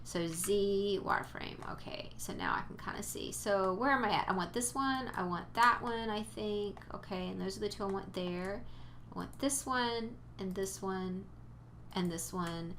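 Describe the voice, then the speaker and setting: A woman's voice: medium pitch, moderate pace, a bit bright, slight background noise. A woman teaching 3D modeling in a casual screen-recorded tutorial, chatty and rambling with playful exclamations, a bit sniffly. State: sniffly from allergies.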